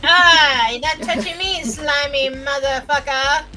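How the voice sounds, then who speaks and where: A woman's voice: high voice, steady tone, takes it slowly, sharp tone. A boisterous woman bantering as a tabletop game player, jokey and sweary, with faint synth music behind.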